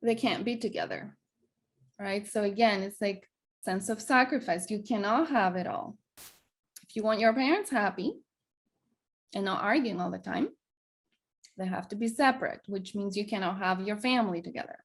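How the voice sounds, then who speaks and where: A woman's voice: medium pitch, normal speed, pauses often. A woman from Greece with a Greek accent, a guest sharing her personal story earnestly in conversation, often repeating words as she goes.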